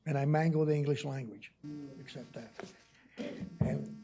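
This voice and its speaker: A man with a stutter questioning bluntly and argumentatively as a council member at a public meeting, repeating himself haltingly. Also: fairly high pitch, creaky. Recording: noisy public meeting room recording.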